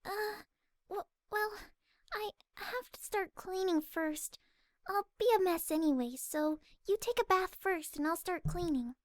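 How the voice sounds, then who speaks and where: A woman's voice: measured pace, dry recording, studio quality. A woman voicing a timid, sensitive young female pegasus pony for cartoon fan fiction, speaking hesitantly in a delicate voice. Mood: shy, flustered. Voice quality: soft, high, delicate voice. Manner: hesitant, stammering.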